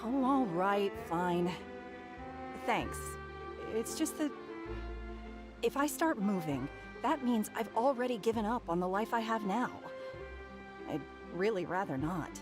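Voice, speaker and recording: A woman's voice: medium pitch, animated, unhurried, long pauses, music in the background, natural voice. An actress playing a carefree, stubborn townswoman in a video game, nonchalant and reluctant.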